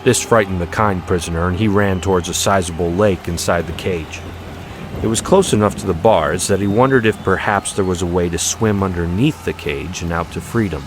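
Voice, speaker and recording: Low-voiced man narrating dramatically. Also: brisk. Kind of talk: dramatic scripted audiobook narration. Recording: music behind the voice.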